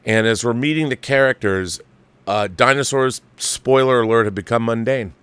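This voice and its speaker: A man presents his film commentary show with a showman's theatrical flourish. His voice sits at a middling pitch, recorded dry and close with very little room sound. He talks casually and gives his opinions freely. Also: normal speed, fairly bright tone, smooth, dry recording. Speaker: host of a film commentary livestream.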